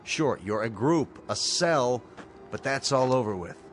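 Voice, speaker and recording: Actor as measured, authoritative rescue colonel. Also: male voice, mid-range voice, expressive, faint music behind, lightly acted. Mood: firm, challenging. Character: firm, rational male colonel leading a rescue mission. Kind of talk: radio drama acting.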